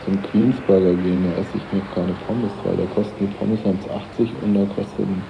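A man's voice: low-pitched, steady tone, moderate pace, creaky. A man talking casually and colloquially in an interview, often ending phrases with a questioning tag. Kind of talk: casual street interview talk. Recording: dull noisy 1980s cassette interview.

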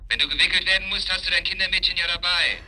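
A man's voice: sits high, fairly even intonation, racing, pushed and bright, low-quality recording. An actor in a radio drama playing a bitter, sarcastic man out of work, mocking and curt with his former lover. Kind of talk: naturalistic German radio drama acting.

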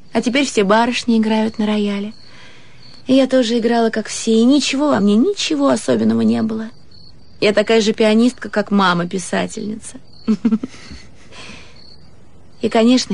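A woman acting an earnest young romantic in a radio play, gushing and pleading in a husky, emotional voice. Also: a little high, darkish tone.

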